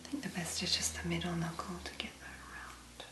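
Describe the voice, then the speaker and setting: A woman doing soft-spoken ASMR, murmuring relaxed, whispery commentary as she works. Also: lowish voice, fairly quick, reverberant. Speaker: ASMR creator.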